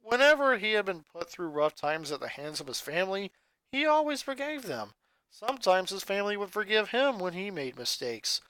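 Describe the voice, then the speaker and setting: Expressive fan fiction reader. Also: mid-range voice, moderate pace, no room sound. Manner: expressive, dramatic narration. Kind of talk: dramatic story reading.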